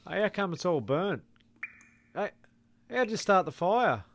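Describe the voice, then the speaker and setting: A man's voice: sits high, big pitch swings, a little slow, fairly bright tone, a little hiss or hum, exaggerated voice. A man playing a puzzled, hesitant castaway in a scripted audio comedy.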